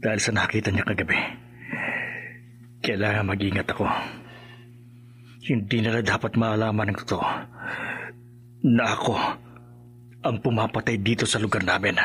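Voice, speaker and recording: An actor playing a desperate undead serial killer in a radio horror drama, delivering a brooding, melodramatic monologue. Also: male voice, fairly low pitch, fairly even intonation, normal speed, pauses often, a bit bright, natural voice. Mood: brooding, guarded.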